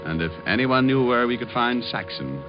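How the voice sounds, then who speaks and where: A man's voice: medium pitch, big pitch swings, normal speed, natural voice. An actor playing a dry, wisecracking male private detective who also narrates, in a 1940s radio drama. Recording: lo-fi old radio with music.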